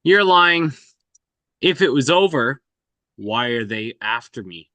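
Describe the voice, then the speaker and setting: A man acts out a cold assassin on the run in a script read. He is terse and demanding, speaking slowly with a lively, swinging pitch. The sound is close and dry. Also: no echo. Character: cold, relentless male assassin on the run, interrogating. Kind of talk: screenplay table read acting.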